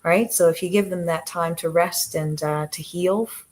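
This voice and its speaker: A woman with a soft, gentle voice that sits a little low talks to her livestream viewers from the living room sofa. She hesitates often, restarting phrases with plenty of fillers, and her voice tends to trail off. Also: fairly even intonation, normal speed. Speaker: livestream host with her dogs. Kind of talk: casual live chat to viewers.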